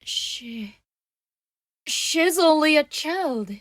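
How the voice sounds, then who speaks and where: Woman playing an earnest young woman, slow, high voice. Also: expressive, no echo, full character voice. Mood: sad, compassionate. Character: earnest, kind-hearted young woman turned amateur sleuth. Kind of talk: radio drama acting. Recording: music behind the voice.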